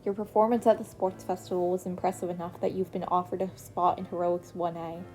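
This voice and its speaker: A woman voicing a tired, gruff man teacher who talks dryly and bluntly, with a mellow voice. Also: lowish voice, level tone, a little fast, soft background music, close to an everyday voice. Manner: dry, weary, blunt.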